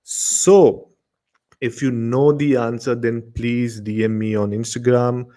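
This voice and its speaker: A man's voice: mid-range voice, level tone, slow pace, mellow. A man with an Indian accent informally hosting a horror show and telling stories, often repeating words and phrases. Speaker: host of a horror radio show.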